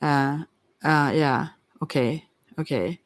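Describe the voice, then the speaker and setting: Halting woman casually reading tarot, dry recording. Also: deep voice, no echo. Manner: halting, thinking aloud. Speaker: tarot reader.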